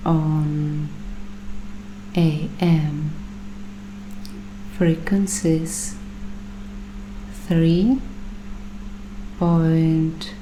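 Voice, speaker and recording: A woman acting as a paranoid doomsday prepper in an ASMR roleplay, speaking extremely slowly in a lowish, hushed voice. She is earnest and deliberate, leaving long pauses, with clear noise behind her. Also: low-quality recording. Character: paranoid waitress turned doomsday prepper interviewing bunker roommates. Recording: clearly audible background noise.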